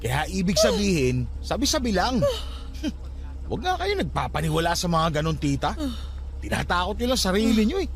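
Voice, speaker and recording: Animated, high-pitched skeptical young man. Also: normal speed. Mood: dismissive, irritated. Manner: argumentative, dismissive, colloquial. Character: stubborn skeptical thirty-year-old nephew, irritable and blunt. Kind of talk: Filipino radio drama acting.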